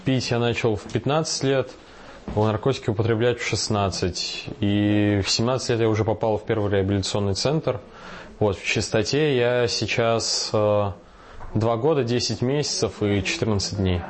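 A young man in his twenties speaks in a reflective, self-deprecating way, searching for words. His voice sits fairly low. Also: normal speed. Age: 20s. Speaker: interviewee in rehab. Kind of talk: candid interview answer.

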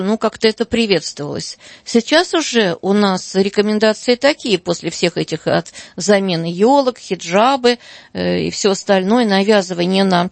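A woman's voice: medium pitch, creaky, no room sound. A middle-aged woman, a school director, speaking as a guest on a radio talk show, earnest and hesitant with many fillers.

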